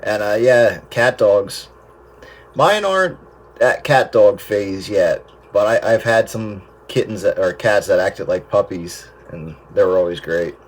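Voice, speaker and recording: A man's voice: lowish voice, lively intonation, a little slow, rough recording. A man chatting casually over a two-way radio with other operators. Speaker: radio operator in a group chat. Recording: hissy two-way radio transmission.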